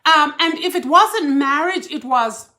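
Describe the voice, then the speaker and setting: A woman's voice: high-pitched, animated, a little slow, bright, forceful tone. A woman from Zimbabwe, a life coach hosting a casual live stream and chatting animatedly with her viewers, laughing easily. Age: older.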